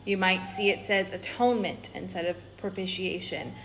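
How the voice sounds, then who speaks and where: A woman co-hosting a Bible study podcast, explaining word meanings in a calm, teacherly way. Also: mid-range voice, normal speed, a little hiss or hum, low-quality recording. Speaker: podcast co-host.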